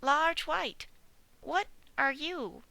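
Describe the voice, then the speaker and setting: A woman voicing a polite, eager young male piglet in a storybook, speaking in a high, bright, well-mannered way. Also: animated, drawn-out words, gravelly, no echo, exaggerated voice. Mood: curious. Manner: high, bright and polite, squeaky when upset. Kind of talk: storybook reading.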